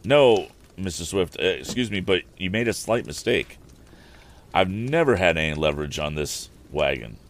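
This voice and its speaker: A man's voice: sits low, animated, moderate pace. Man doing a folksy old handyman. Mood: earnest. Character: rustic older male mule-driving handyman.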